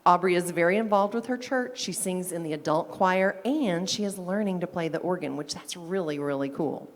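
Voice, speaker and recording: A woman's voice: on the low side, normal speed. Upbeat woman presenting a student award. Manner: upbeat, chatty, warmly enthusiastic. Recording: reverberant meeting hall.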